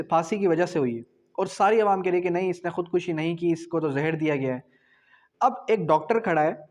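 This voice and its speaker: A man's voice: on the high side, a little mellow. A man giving an explanatory exam-prep lecture, didactic, asking and answering his own questions and repeating key phrases. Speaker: law lecturer.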